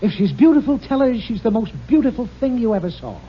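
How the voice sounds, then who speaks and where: A man's voice: extremely high pitch, big pitch swings, quick pace, husky, exaggerated voice. An actor playing a pompous, sarcastic middle-aged male boss who brags theatrically, in a 1950s radio sitcom. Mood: bragging. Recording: muffled old radio broadcast.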